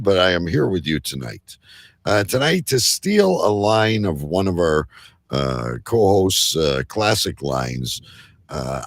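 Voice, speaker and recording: A man hosting a live show in rambling, casual banter, halting at times and then suddenly emphatic. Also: low-pitched, a bit bright, dry recording, clean studio sound. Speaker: host of a live online show.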